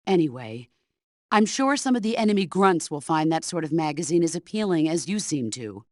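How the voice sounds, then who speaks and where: A woman's voice: deep voice, very animated, brisk, no room sound, studio quality. A middle-aged woman playing a stern, commanding veteran soldier who mentors her former protégé in a video game. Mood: exasperated, scolding. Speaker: military mentor character. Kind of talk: video game voice acting, radio briefing dialogue.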